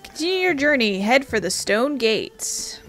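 A woman's voice: fairly high pitch, sing-song, measured pace, a bit bright. A woman hosting a gaming video with casual let's play commentary, sometimes reading text aloud. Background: video game music.

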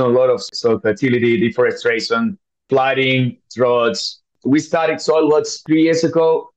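A man with a Finnish accent and a mid-pitched voice answers as a podcast guest. He talks conversationally, and the recording is a little dull and lo-fi. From Finland.

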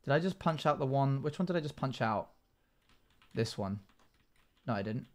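A man's voice: mid-range voice, lively intonation, normal speed. A man in his twenties giving casual, chatty commentary on a game stream, reading out chat messages and replying. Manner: chatty, reads chat messages aloud and answers. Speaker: live streamer. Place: small home room, indoors.

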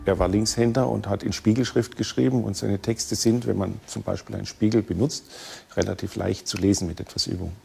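Mellow, creaky-voiced male professor. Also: fairly low pitch, fairly even intonation, fairly quick. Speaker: academic expert, professor. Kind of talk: interview commentary.

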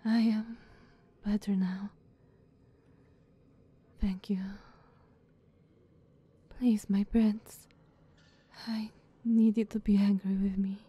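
A woman playing a devoted, anxious female knight in an ASMR roleplay, speaking formally and hesitantly with breathy tenderness. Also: medium pitch, little pitch movement, drawn-out words, pauses often, lo-fi sound. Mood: pleading. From Slavic country. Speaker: audio roleplay performer.

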